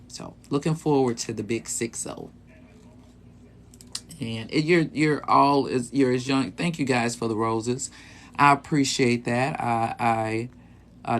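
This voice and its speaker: A man in his late fifties who hosts a live stream as a sexologist, chatting casually with viewers in an easygoing, rambling way with lots of ums. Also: medium pitch. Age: 50s. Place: small bedroom closet.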